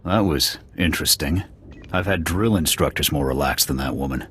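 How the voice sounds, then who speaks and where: A man's voice: very deep voice, moderate pace, fairly bright tone, slight background noise. An actor voicing a wry, skeptical male soldier who makes sardonic remarks in a game cutscene. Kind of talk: video game cutscene voice acting.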